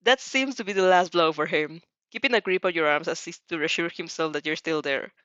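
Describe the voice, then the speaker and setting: A woman's voice: fairly low pitch, brisk, fairly bright tone, no echo. Woman reading fanfiction, dull close recording. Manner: stumbles and rereads phrases. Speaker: fanfiction audiobook reader. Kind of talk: expressive amateur story reading.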